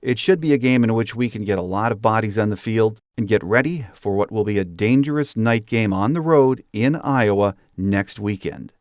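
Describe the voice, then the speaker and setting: Low-voiced man hosting a sports podcast. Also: moderate pace, few pauses, no room sound, clean studio sound. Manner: steady, read-from-script delivery. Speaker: podcast host. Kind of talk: scripted podcast monologue.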